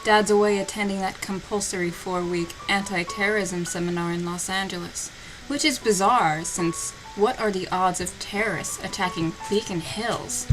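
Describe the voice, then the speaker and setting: A woman's voice: mid-range voice, rough recording. Sarcastic woman reading aloud over music. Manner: mocking, sarcastic. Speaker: host reading fan fiction aloud. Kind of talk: sarcastic dramatic reading with commentary. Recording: loud music behind the voice.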